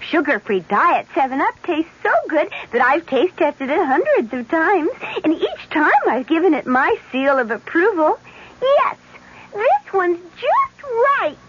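A fussy fairy-tale taste tester chirps in a very high, lively voice. It is a scripted commercial on a dull vintage radio recording. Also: female voice, full character voice.

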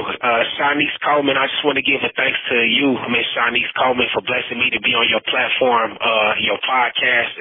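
A man's voice: mid-range voice, husky. A man speaking casually and earnestly in a plea over a phone call, often adding "man" and "you know.". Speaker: incarcerated storyteller giving testimony. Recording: muffled lo-fi prison phone call.

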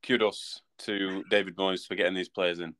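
A man's voice: low-pitched, level tone, normal speed, pushed and bright. A man chatting casually as a football podcast co-host, with a dry, teasing, mock-indignant way of talking. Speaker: football podcast co-host and Manchester United fan.

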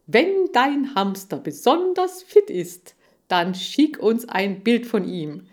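A woman voicing a pompous, boastful male television salesman in a children's story, with a drawn-out showman's delivery. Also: on the high side, big pitch swings, darkish tone, voice pushed up. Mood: pompous, promotional.

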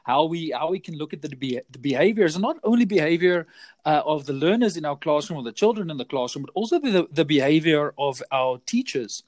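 A man's voice: on the high side, lively intonation, brisk, a little mellow, dry recording, clean studio sound. A South African man whose first language is Afrikaans, talking as a podcast guest, chatty and often repeating words as he restarts. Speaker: guest, teacher trainer. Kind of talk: casual podcast interview.

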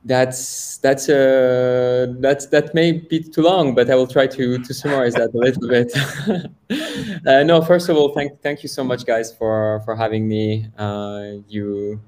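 A man's voice: medium pitch, steady tone, measured pace, darkish tone. A man in his thirties from France, a guest on a video call, telling his story in a casual, hesitant way with many fillers and restarts. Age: 30s. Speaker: guest, software founder. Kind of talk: casual interview talk.

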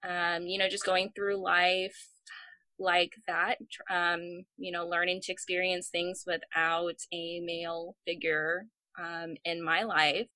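A woman's voice: on the low side, little pitch movement, slow, sharp tone, no room sound, poor audio. A woman in her thirties from the United States, telling her story reflectively as a podcast co-host. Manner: reflective, many fillers. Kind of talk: casual podcast chat.

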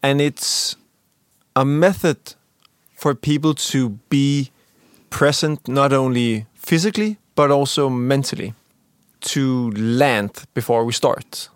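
Measured young man, close dry voice. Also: medium pitch, clear, resonant tone, no room sound. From Denmark. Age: young adult. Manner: measured, explanatory, dry humor. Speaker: podcast co-host. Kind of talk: casual podcast chat.

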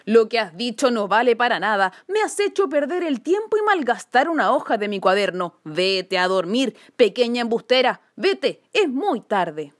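A woman's voice: mid-range voice, very animated, a little slow, dry recording, clean studio sound. A woman voicing a stern, pompous male policeman in a children's storybook, officious, suspicious and scolding. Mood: angry.

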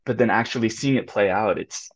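A man's voice: fairly low pitch, fairly quick, dry recording. A young American man talking things over casually, with lots of restarts and fillers. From United States. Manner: thoughtful, many restarts and fillers, laughs often. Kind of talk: casual conversation. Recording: dull muffled sound, dry.

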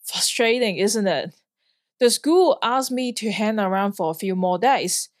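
A woman's voice: mid-range voice, lively intonation, a little slow, no room sound, clean studio sound. A woman in her thirties from Hong Kong, a podcast host telling a personal story in an earnest, plain way. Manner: earnest, plainly worded, occasional light laugh. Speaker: podcast host, flying instructor.

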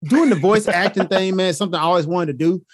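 A man with a high voice, chatting casually in an excitable, slangy way and laughing a lot. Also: fast talker, no echo, clean studio sound. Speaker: co-host. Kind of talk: casual chat.

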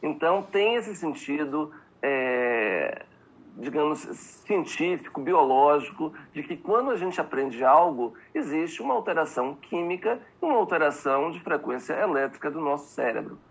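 A man's voice: on the high side, animated, moderate pace, slightly rough, dull audio. A man from Bahia, Brazil, answering interview questions as an expert guest, eager and explanatory, often checking in with tag questions.

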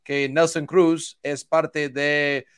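Slow halting man with a smooth voice. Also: a little high, flat delivery, no echo, clean studio sound. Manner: hesitant, halting, searches for words. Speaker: baseball analyst. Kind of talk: hesitant panel commentary.

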